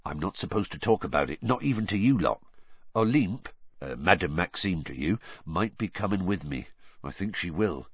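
A man voicing a big, gruff, hearty gamekeeper, fond and loyal, with colloquial clipped phrasing, in an audiobook. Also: sits low, animated, normal speed, dry recording, muffled recording. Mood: evasive. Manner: gruff, colloquial, dropped words. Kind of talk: audiobook character voice.

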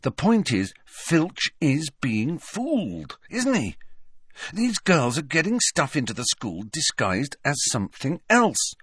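A man's voice: fairly high pitch, huge pitch swings, slow pace, fairly bright tone, husky, dry recording, clean studio sound, pitched above the performer's own voice, heavily acted. A man voicing a stubborn, suspicious teenage schoolboy in an audiobook, pressing his point insistently. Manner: muttering, insistent.

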